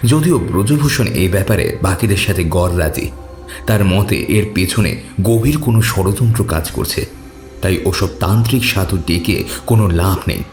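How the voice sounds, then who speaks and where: A man reading scripted horror fiction as a narrator, measured and suspenseful. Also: deep voice, expressive, a little mellow, soft background music. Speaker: story narrator.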